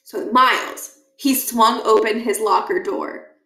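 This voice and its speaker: Bright-voiced woman reading a children's story. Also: fairly high pitch, fairly even intonation, takes it slowly. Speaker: read-aloud storyteller. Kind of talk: expressive children's book narration. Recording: echoey, roomy sound.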